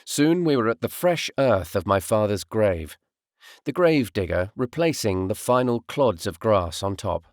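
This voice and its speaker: A man reading a first-person literary audiobook in a measured, reflective way. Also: fairly low pitch, lively intonation, dry recording, studio quality. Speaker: audiobook narrator, voice artist.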